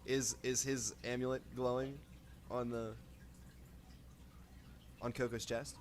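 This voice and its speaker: A man playing an improvised tabletop game, speaking in a halting, thinking-aloud way. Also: mid-range voice, flat delivery, a little hiss or hum. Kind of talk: casual improvised role-play.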